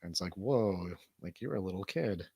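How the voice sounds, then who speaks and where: A man's voice: low voice, lively intonation, normal speed, gravelly, mellow, slightly rough, no room sound, clean studio sound. A man hosting a casual livestream chat, laid-back, slangy and rambling. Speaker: host of a livestream show.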